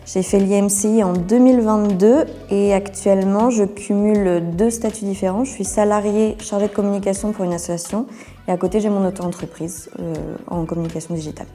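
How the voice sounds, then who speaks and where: A woman's voice: medium pitch, steady tone, fairly quick, mellow, in a large hall, faint music behind. A woman of twenty-nine giving a casual, candid testimonial interview in informal, colloquial speech. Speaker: interviewee, communications officer and freelancer. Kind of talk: casual testimonial interview, talking about own career.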